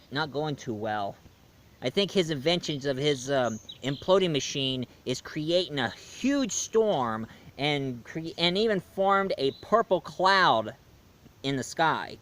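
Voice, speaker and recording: A man's voice: a little high, fairly bright tone. A man giving a casual spoken film review, chatty and jokey as he retells the plot.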